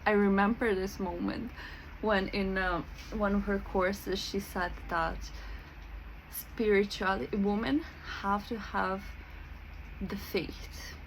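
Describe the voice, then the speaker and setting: A woman's voice: medium pitch, steady tone, slow, slight background noise, low-quality recording. A woman from Serbia with a Serbian accent, talking casually to camera as a spiritual coach, chatty with many fillers and frequent laughs.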